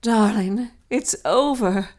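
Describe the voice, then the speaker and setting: An actress playing a desperate, wounded, scheming mistress in a gothic audio melodrama, overwrought and halting. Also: female voice, medium pitch, darkish tone, natural voice. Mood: dazed, hopeful.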